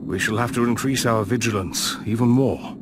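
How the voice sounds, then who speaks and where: Game role: male actor as earnest war commander, medium pitch, formal measured delivery, noisy track. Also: natural voice. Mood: wary. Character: grave, formal commander planning a fantasy war. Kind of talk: dramatic video game cutscene acting. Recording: noisy game cutscene audio.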